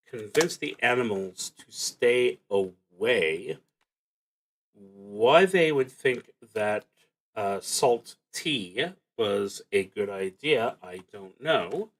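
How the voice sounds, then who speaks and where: A man livestreaming a solo roleplaying game, reading prompts and thinking aloud in a halting, dryly wry way. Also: fairly low pitch, poor audio.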